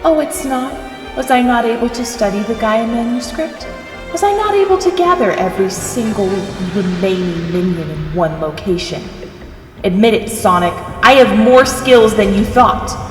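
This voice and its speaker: Woman as a taunting, dark-possessed hedgehog girl, echoing. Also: a little high, animated, moderate pace, close to an everyday voice. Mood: defiant. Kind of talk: audio drama acting. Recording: echoey, long reverb, faint music behind.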